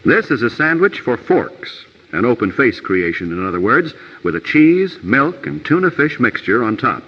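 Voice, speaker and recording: A man's voice: medium pitch, very animated, normal speed, slightly rough. A man giving scripted narration for an educational film from the 1950s. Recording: old film soundtrack, muffled, reverberant.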